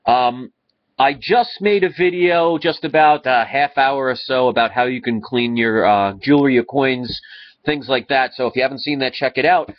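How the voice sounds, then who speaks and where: A man's voice: mid-range voice, moderate pace. A man in his thirties with a stuffy, congested nose, talking earnestly to a webcam in his living room. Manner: earnest, rambling with restarts. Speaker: vlogger. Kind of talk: casual talk to camera. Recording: slightly dull lo-fi webcam audio.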